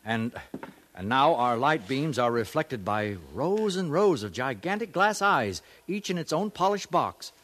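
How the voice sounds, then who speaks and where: A man's voice: mid-range voice, big pitch swings, a little slow, a bit bright. A man playing an earnest broadcast reporter of the far future in a 1950s radio drama. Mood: awed, hushed. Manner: formal, portentous.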